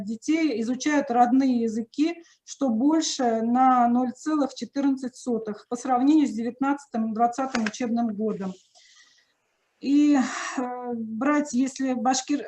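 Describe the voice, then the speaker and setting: Woman, official report, higher voice, mellow tone, hesitant, fillers and self-corrections. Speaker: education official reporting statistics. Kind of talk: official report at a government meeting.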